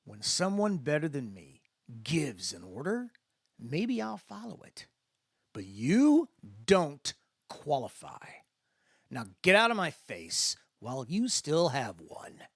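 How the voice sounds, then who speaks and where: A man's voice: a little high, huge pitch swings, takes it slowly, no echo, clean studio sound, heavily acted. A man voicing a tough young newcomer, curious and defiant, in a dramatic story reading.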